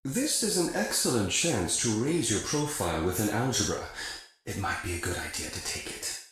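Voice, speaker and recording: Game mission broker, male, businesslike, advisory, lively intonation, strong echo, music underneath. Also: fairly low pitch, normal speed. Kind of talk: scripted military mission briefing, video game voice acting. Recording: very echoey, music behind.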